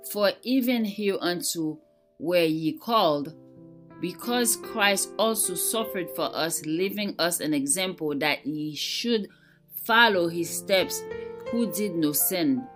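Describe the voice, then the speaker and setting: A woman giving a scripted devotional reading in a measured, solemn way with careful phrasing. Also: lowish voice. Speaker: devotional reader. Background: soft plucked guitar music.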